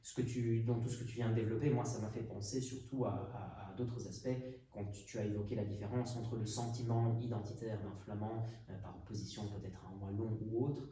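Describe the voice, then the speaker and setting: Dark-voiced hesitant man in echoey room. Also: fairly low pitch, steady tone, brisk, hardly any pauses. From Portugal. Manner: hesitant, thinking aloud with many fillers. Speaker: podcast host. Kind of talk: reflective podcast conversation. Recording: echoey room, mic at a distance, faint noise.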